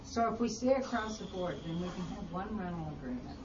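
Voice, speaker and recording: A woman's voice: lowish voice, lively intonation, brisk. A woman speaking as a board member in an informal meeting discussion, explaining procedure step by step. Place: meeting room. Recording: roomy, dull, noisy distant meeting recording.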